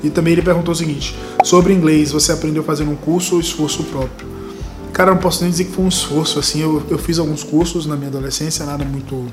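Casting: young Brazilian man, faint Bahian accent, slightly high voice, easygoing Q&A host, music bed underneath. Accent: light Bahian Brazilian Portuguese, mostly faded. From Salvador, Brazil. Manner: relaxed, joking, laughs at questions. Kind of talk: casual Q&A chat. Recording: music playing behind the voice.

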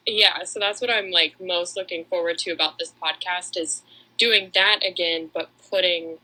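A woman co-hosts a podcast in loose, casual conversation. Her voice is very bright and forward, sitting slightly lower than most women's. She is chatty and slightly self-deprecating in how she talks. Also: steady tone, normal speed, poor audio. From Atlanta, United States. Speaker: podcast co-host. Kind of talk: casual chat.

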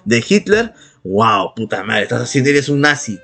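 A man's voice: mid-range voice, sing-song, moderate pace, gravelly, bright, forceful tone, breathy edge. Irreverent, foul-mouthed Peruvian man over music. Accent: Peruvian Spanish. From Peru. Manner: irreverent, heavily profane, slangy. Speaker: podcast co-host. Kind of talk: casual opinionated chat. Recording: music playing behind the voice. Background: background music.

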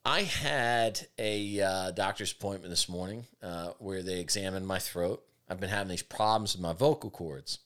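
A man with a raspy voice, hosting a podcast with opinionated, emphatic casual talk. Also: low voice, moderate pace, few pauses, a bit bright. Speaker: podcast host.

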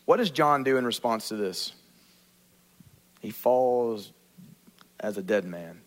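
A male pastor preaching a sermon, asking the congregation questions and acting out quotes in lively voices. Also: medium pitch, takes it slowly. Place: church.

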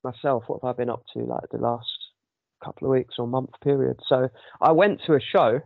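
Gravelly man hosting a podcast. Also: mid-range voice, fairly quick, dry recording, narrow, tinny audio. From United Kingdom. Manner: rambling, many ums. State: sore throat. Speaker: podcast host. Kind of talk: casual chat.

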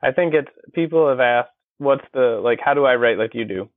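A man with a gravelly, fry-edged voice, heard over a thin, phone-like line with no room sound. He explains technical ideas patiently and reaches for everyday comparisons. His delivery is casual and conversational. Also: mid-range voice, brisk, no echo. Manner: explanatory, builds plain analogies. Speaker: guest, engineer and copywriter. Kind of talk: conversational interview. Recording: dry tinny phone-like line.

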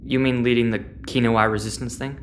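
Woman acting a low-voiced, hesitant teenage boy. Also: steady tone, moderate pace, a little hiss or hum. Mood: unsure. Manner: hesitant, unsure. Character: teenage boy, hesitant, confused, questioning outsider. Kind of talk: audio-fiction drama dialogue.

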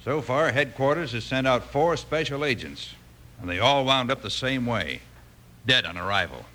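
A man's voice: mid-range voice, animated, moderate pace, bright, forceful tone. A man playing a weary, earnest army captain in an old radio western, grave and clipped, with an American accent. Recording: faintly noisy old radio recording.

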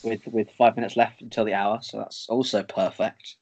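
Upbeat Northern English podcast host. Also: male voice, lowish voice, flat delivery, normal speed, darkish tone, highs cut off. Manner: upbeat, friendly, laughs easily. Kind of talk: casual conversation.

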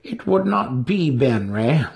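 A man voicing a hostile female dark elf priestess with a sharp manner, in a fantasy storybook reading. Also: mid-range voice, very animated, slow, vocal fry, pitched above the performer's own voice, exaggerated voice. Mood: wary. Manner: sharp, snarling.

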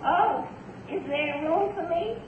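Very high-pitched whiny teenage boy. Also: slow, crowd noise. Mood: whiny, sheepish. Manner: whiny, sarcastic. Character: whiny, wisecracking teenage boy, hapless best friend. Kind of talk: 1940s radio sitcom acting. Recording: tinny, noisy 1940s radio broadcast.

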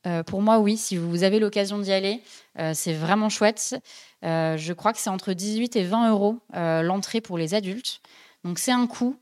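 A woman's voice: mid-range voice, moderate pace, darkish tone. A young woman hosting a casual podcast, talking chattily with many hesitations and fillers. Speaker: podcast host.

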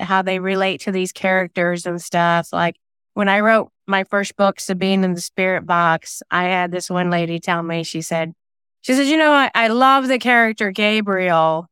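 Woman with a clear, smooth voice. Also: medium pitch, a bit bright, no echo. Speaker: guest, singer-songwriter and novelist. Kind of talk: conversational interview answers.

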